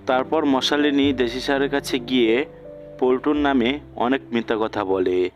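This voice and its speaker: A man's voice: mid-range voice, normal speed, lo-fi sound. A man narrating a cartoon folk tale as a scripted storyteller. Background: music.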